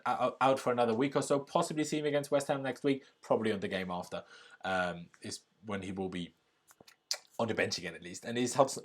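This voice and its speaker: A man from Austria hosting a football fan live stream, chatting casually and reading out comments and donations in an upbeat way. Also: mid-range voice. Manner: chatty and upbeat, often says "bloody brilliant".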